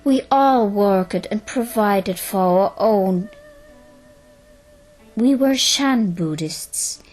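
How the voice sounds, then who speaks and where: A woman's voice: mid-range voice, drawn-out words, a little mellow, soft background music. A woman voicing a proud, self-reliant female turtle demon with an unimpressed, formal manner in an audio drama.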